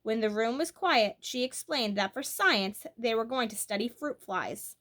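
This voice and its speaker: A woman narrates a children's story with a very bright, forward voice pitched on the high side. Her reading is expressive and animated, putting emphasis on key words as a storyteller would. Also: a little slow.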